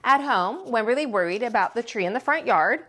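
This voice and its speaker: A woman reading a children's story aloud as a storytime host and teacher, with expressive, deliberate delivery. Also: a little high.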